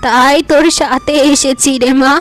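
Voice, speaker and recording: Woman voicing a gentle wronged elder wife. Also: high-pitched, level tone, a little slow. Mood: tearful. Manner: hurt, pleading.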